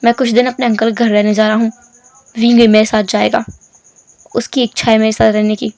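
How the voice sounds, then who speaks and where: A woman voicing a gentle reassuring older brother. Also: on the high side, little pitch movement, a little fast, no echo, natural voice.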